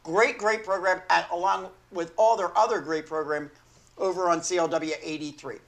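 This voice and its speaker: An older man with a hoarse, failing voice hosting a sports talk show from a small studio desk, rambling and correcting himself as he goes. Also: sits high, steady tone, moderate pace, a bit bright. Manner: rambling, self-correcting, chuckling. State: hoarse, losing his voice.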